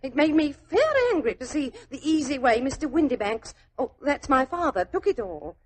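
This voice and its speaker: High-pitched, flustered London young lady. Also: lively intonation, normal speed, slight background noise. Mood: indignant, chatty. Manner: rambling, colloquial, flustered, deferential. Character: chatty, flustered, naive working-class London young woman. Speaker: actor in a radio detective drama. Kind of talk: 1940s radio acting.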